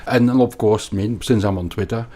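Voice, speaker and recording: A man with a Belgian accent explaining things as an interview guest, haltingly and emphatically, often repeating words. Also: on the low side, warm tone. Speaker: podcast guest, investment analyst.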